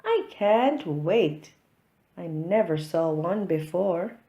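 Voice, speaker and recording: A woman voicing a curious, excitable cat from a children's storybook, wide-eyed and exclamatory. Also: lowish voice, takes it slowly. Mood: eager wonder.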